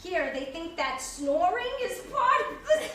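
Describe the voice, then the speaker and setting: A woman playing a jolly, teasing food service worker in a staged play reading, rambling haltingly with chuckles. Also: extremely high pitch, sing-song, a crowd in the background, exaggerated voice. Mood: merry, mischievous. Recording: echoey hall, distant mic, background noise.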